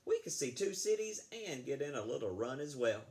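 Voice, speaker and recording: A man's voice: mid-range voice, sing-song, brisk, a little mellow, a little hiss or hum. A man reading a storybook, voicing a modest, folksy old sheep rancher. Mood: musing. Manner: folksy, plain-spoken. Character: lanky, modest old sheep rancher who loves running.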